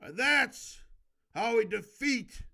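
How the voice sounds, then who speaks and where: Amateur male reader, high register, slow halting delivery, self-correcting, breaks into emphatic off-the-cuff advice. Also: lively intonation, gravelly, sharp tone. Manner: stumbles over words and corrects himself; emphatic, exhorting. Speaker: amateur video host reading a book aloud. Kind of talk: halting read-aloud with improvised casual commentary.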